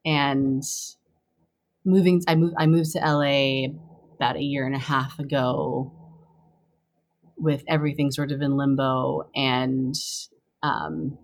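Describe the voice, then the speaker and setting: A young adult woman, born in Taiwan and raised on the US East Coast, answering interview questions as a campaign fundraising director, thoughtful and halting with many restarts. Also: rumbling low, a little hiss or hum.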